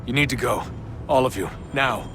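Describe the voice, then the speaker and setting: A man's voice: mid-range voice, moderate pace, noisy background, natural voice. An actor playing an earnest, resolute young man in a video game drama, speaking tersely and urgently. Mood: urgent, resolved.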